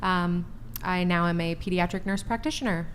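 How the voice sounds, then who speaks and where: A woman in her thirties introducing herself slowly and deliberately, with pauses. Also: on the low side, slight background noise. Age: 30s. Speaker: panel guest.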